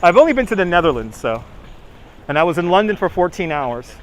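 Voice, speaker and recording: A man's voice: sits high, very animated, a little fast, slight background noise, low-quality recording. Chatty New York man streaming outdoors. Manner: chatty, reads chat names aloud, laughs often. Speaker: livestream host. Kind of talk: casual live-stream chat while walking. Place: outdoors on city streets. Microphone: phone on gimbal.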